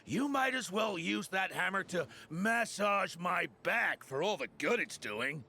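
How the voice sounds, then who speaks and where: A man's voice: sits high, animated, measured pace, vocal fry, bright, forceful tone, slight background noise, heavily acted. An actor playing a cantankerous, teasing townsman in a video game, with a needling, exaggerated delivery. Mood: mocking. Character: cantankerous, teasing male townsman, loud-mouthed customer.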